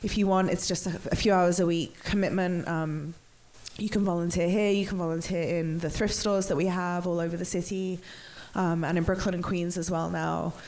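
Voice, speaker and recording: A woman's voice: lowish voice, fairly even intonation, normal speed, a little mellow. An English woman hosting a bookstore event, nasal from a cold, chatty with many ums and restarts. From England. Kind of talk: event introduction.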